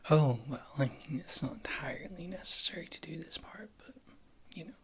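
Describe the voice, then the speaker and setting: A performer playing a wry, condescending witch healer in a whispered ASMR fantasy roleplay, with a soft, breathy voice and a halting delivery. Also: mid-range voice. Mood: wry, unhurried. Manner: slow, halting, dryly disdainful.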